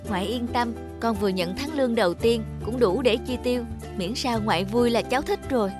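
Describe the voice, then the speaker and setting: A woman's voice: on the high side, a little fast, music in the background, natural voice. A woman voicing a devoted, eager young grandson in a cartoon, earnest and respectful. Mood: eager, affectionate. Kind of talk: cartoon voice acting.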